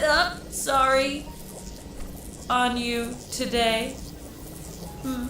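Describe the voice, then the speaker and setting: A woman playing a cheerfully sadistic torture droid with mock politeness in a sci-fi audio drama. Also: high voice. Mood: mock admiring. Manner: gleeful, sing-song mock politeness. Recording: roomy, distant, clearly noisy ambience.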